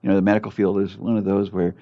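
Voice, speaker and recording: Older male doctor guest, gravelly low voice, halting and filler-heavy, muffled lo-fi recording. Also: level tone. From United States. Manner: halting, many fillers and restarts. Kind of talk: casual conversational interview. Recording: muffled lo-fi audio.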